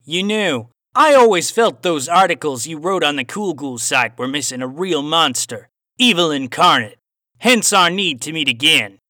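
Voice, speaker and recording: Menacing Aussie cowboy villain, raised pitch. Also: male voice, animated, pushed and bright, no room sound, studio quality. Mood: mocking menace. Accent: Australian with a hint of cowboy twang. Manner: formal, deliberate, sinister rhetorical questioning. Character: menacing male phantom cowboy villain, philosophical, taunting, theatrical. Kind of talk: audiobook character voice.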